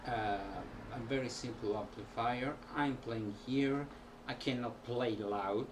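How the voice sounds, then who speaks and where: A man, a musician, talking casually to camera at home in a halting way with many pauses. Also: medium pitch. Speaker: musician vlogger. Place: small room at home. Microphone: built-in camera mic. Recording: distant home camera audio with background noise.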